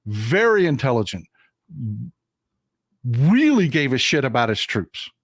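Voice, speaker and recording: A man's voice: mid-range voice, sing-song, unhurried, dry recording, clean studio sound. A man with a Texan accent hosting a casual live stream, folksy and emphatic, who swears casually.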